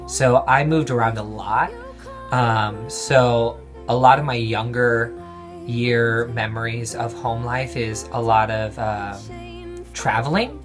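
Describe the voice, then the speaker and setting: A man in his twenties being interviewed, talking casually and hesitantly with many pauses and restarts. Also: medium pitch, music in the background. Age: 20s.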